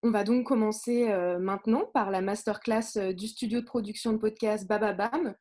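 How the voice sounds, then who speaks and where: A woman moderating and presenting at a live event, speaking a little haltingly. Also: mid-range voice, fairly even intonation, dark tone.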